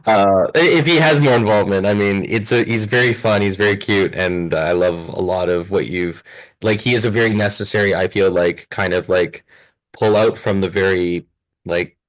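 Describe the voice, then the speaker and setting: A man giving casual feedback in an informal, rambling and halting way. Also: deep voice, low-quality recording.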